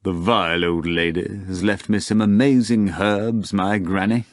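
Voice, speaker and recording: Gruff commanding devil, as a man puts him on. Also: sits low, steady tone, unhurried, no echo, studio quality. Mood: wry. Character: commanding, weary devil, old male master of a ball.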